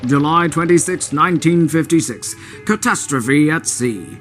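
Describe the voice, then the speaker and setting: A man's voice: on the high side, expressive, a little slow, fairly bright tone, faint music behind. A man playing a 1950s newsreel announcer in a radio drama, with grave, declamatory delivery. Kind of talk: newsreel narration.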